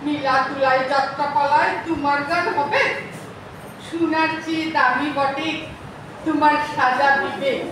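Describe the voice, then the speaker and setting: A very high-pitched woman with a West Bengal Bengali accent recites folksy dialect verse dramatically. The sound is distant and heavily echoed, with clear noise in the hall. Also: steady tone, measured pace. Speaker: workshop participant.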